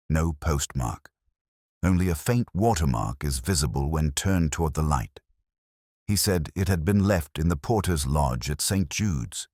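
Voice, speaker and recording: A man reading a first-person mystery story in a measured, reflective way. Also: extremely low pitch, darkish tone, breathy edge, no echo, studio quality. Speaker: narrator.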